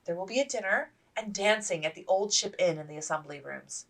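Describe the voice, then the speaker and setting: A woman voicing a gentle, placating young officer's wife in a storybook. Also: fairly low pitch, animated, brisk, distant mic. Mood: eager reassurance.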